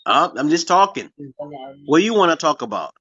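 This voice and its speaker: A man's voice: fairly high pitch, very animated, fairly quick, a bit bright, dull audio. A man in his forties from Brooklyn, hosting a casual livestream and rambling from topic to topic. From Brooklyn, New York, USA. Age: 40s. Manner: rambling, loses his train of thought. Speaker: livestream host.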